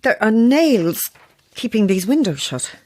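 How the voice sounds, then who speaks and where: Casting: composed woman novelist in horror audio fiction. Dry, understated, guarded, with lively intonation. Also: on the high side, measured pace. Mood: uneasy. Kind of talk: dramatic audio fiction acting.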